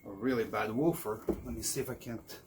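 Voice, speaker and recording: A man's voice: lowish voice, fairly quick. A middle-aged man from Norway, chatting casually as a vlogger showing used audio gear. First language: Norwegian. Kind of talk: casual chat. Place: shop room by an open door. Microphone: distant camera. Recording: far from the mic, clearly noisy.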